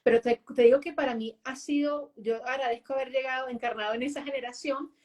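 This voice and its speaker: A chatty woman guest in relaxed conversation, her voice sitting a bit high. She talks openly, often tucking little tag questions onto the end of her sentences. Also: quick pace. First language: Spanish. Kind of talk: casual conversation.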